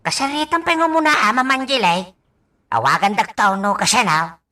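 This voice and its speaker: A woman's voice: mid-range voice, moderate pace, pushed and bright, natural voice. A woman playing a sassy, comic household servant with a drawling delivery in an Ilocano radio drama. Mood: sassy, complaining.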